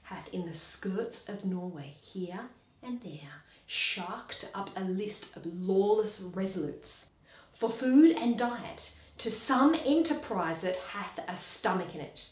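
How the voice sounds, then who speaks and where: A woman playing a grave, scholarly nobleman in dramatic, declamatory Shakespearean verse, deliberate and with hushed dips. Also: mid-range voice, animated, echoey room, pitched below the performer's own voice, rough recording. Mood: measured, explanatory. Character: grave scholarly Danish nobleman Horatio, awed yet commanding.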